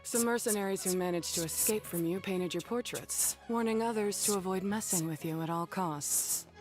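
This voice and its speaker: A woman's voice: mid-range voice, moderate pace, natural voice. A woman playing a blunt, matter-of-fact, self-deprecating beast tamer in a video game. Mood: cool, appraising. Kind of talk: English video game voice acting. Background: game music.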